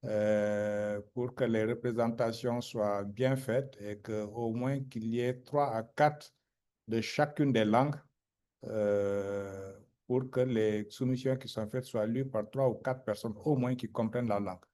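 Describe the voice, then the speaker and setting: A man moderating an online meeting, explaining calmly and growing animated when he tells an anecdote. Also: on the low side, unhurried.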